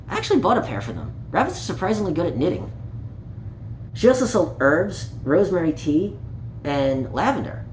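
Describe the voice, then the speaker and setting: A person reading a children's story aloud in an animated, playful way, with small asides and stumbles over words. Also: medium pitch. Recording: echoey room with light background noise.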